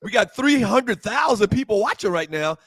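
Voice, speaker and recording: A man's voice: high-pitched, expressive, moderate pace, fairly bright tone, breathy edge, dry recording. A man co-hosting a live sports talk show, talking casually and urging viewers to subscribe and share.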